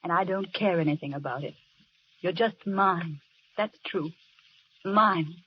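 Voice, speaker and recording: A woman's voice: lowish voice, measured pace, breathy edge. An actress playing a tender, devoted young woman in love in a 1930s radio drama. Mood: possessive, tender. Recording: muffled, noisy 1930s radio broadcast recording.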